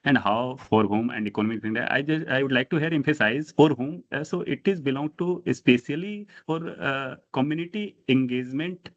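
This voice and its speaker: A man with an Indian accent asks a polite, formal question during an online meeting. His pitch is ordinary. The call audio sounds dull and lo-fi. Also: fairly quick, hardly any pauses. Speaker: museum curator.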